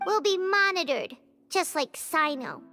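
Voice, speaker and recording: A woman voicing a small, excitable, childlike fairy companion in a cartoonish video game, outspoken and easily indignant. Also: extremely high pitch, a little slow, exaggerated voice. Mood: worried. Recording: game music clearly behind.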